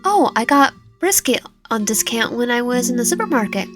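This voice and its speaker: A woman's voice: a little high, lively intonation, fairly quick, over music, natural voice. A woman voicing a guarded, dry-witted captive spouse in a romance story, grudging in manner. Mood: casual, dry.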